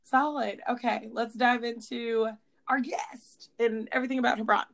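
A woman's voice: a little high, flat delivery, moderate pace, vocal fry. A young woman co-hosting a podcast, upbeat and encouraging in casual chat. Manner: upbeat, encouraging, says "solid". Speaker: podcast co-host.

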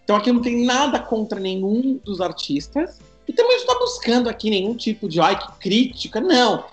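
A man's voice: very high voice, big pitch swings, brisk, faint music behind. Joking man in an echoey room. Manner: joking, teasing storyteller. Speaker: podcast co-host leading the episode. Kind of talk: casual podcast chat.

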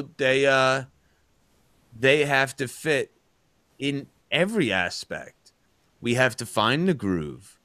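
A man's voice: mid-range voice, fairly bright tone, no room sound. A man co-hosting a casual talk show, rambling and chatty with lots of fillers. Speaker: podcast co-host. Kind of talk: casual chat.

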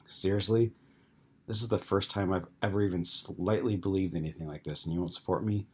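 A man's voice: low voice, fairly even intonation, brisk, a little mellow, breathy edge. A man reading the lines of a defiant, suspicious wife in a challenging tone.

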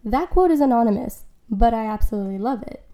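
A woman's voice: on the high side, animated, fast, warm tone. A woman hosting her own podcast, talking earnestly through ideas and quotes, her voice a little worn from being unwell. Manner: earnest, explanatory, quotes and examples. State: recovering from lost voice. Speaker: podcast host, life coach. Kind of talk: solo podcast talk. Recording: echoey room.

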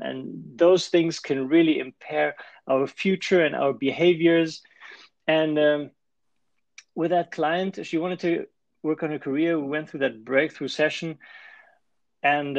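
Guest coach, male, German accent, somewhat high-pitched gravelly voice, close dry recording. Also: no room sound, lo-fi sound. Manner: explanatory, restarts phrases. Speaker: life and performance coach, guest. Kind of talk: podcast interview.

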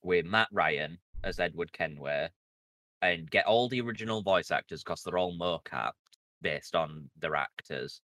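A man's voice: low-pitched, expressive, a little slow, pushed and bright, no echo. A man co-hosting a podcast in casual chat, giving opinions as he thinks aloud. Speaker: podcast co-host.

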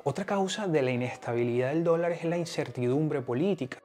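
A man's voice: fairly high pitch, fairly quick, over music. A man with a Venezuelan accent hosting an explanatory podcast in a partly scripted monologue. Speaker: podcast host.

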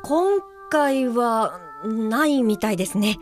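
Actress playing flustered well-meaning woman helper, high voice, polite, audio drama, long reverb, music behind. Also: lively intonation, slow. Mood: helpful. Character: Mayumi, flustered, well-meaning female sighted guide for the blind. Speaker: actor and first-person narrator. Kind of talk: Japanese audio drama dialogue and narration.

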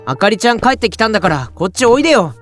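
A woman playing a gentle, easygoing boy in an audio drama, with a casual, friendly, boyish way of talking. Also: medium pitch, sing-song, racing, over music, natural voice. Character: gentle, easygoing boy caught in spirit world. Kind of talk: audio drama acting with first-person narration.

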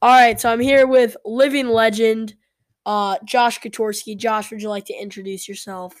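A woman hosting a casual podcast, talking with a sore throat and a congested voice, chatty and halting with frequent fillers. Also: fairly high pitch, level tone, faint music behind. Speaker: podcast host.

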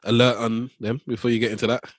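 A man's voice: medium pitch, level tone, fast talker, no room sound, clean studio sound. A man co-hosting a podcast, teasing and often stumbling over his words. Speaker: podcast co-host. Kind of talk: casual podcast chat.

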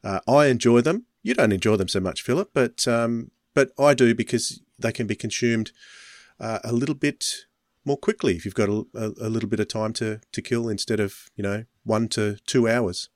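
A man's voice: mid-range voice, normal speed, dry recording, clean studio sound. A man hosting a podcast in a casual, rambling, informal way. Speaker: podcast host. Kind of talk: casual chat.

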